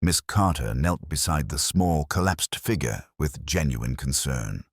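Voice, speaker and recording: A man narrating a scripted British village mystery in a dry, measured, literary way. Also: very deep voice, expressive, husky, dry recording.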